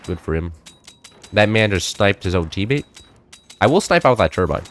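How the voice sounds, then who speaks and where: A young man in his late teens chatting loosely while playing a game, rambling with many fillers and restarts, his voice a little high for a man. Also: expressive, normal speed, fairly bright tone. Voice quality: slightly high-pitched for a man. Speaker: gameplay streamer. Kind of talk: casual chat while playing.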